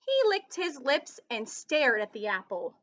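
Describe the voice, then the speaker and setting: A woman's voice: high-pitched, very animated, a little slow, fairly bright tone, muffled recording. A woman reading a children's story aloud in a teacherly way, stopping to put questions to her young listeners. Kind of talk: expressive children's read-aloud with asides and questions.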